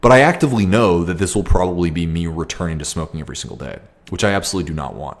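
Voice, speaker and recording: A young man hosting a casual livestream Q&A, talking reflectively and haltingly with many ums and restarts. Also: low voice, expressive. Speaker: livestream host. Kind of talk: casual livestream Q&A, reading comments.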